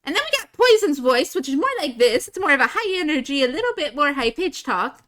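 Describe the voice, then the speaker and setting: A bubbly, high-energy streamer persona, put on by a young woman, pitched up and talking quickly. The tone is bright and forward. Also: sing-song. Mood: peppy.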